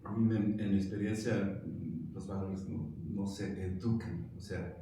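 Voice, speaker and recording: A man's voice: low-pitched, fairly even intonation, unhurried, mellow, slight background noise. A man in a casual group discussion, speaking in a thoughtful, philosophical way with hesitant restarts. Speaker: discussion participant. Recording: echoey room, far from the mic.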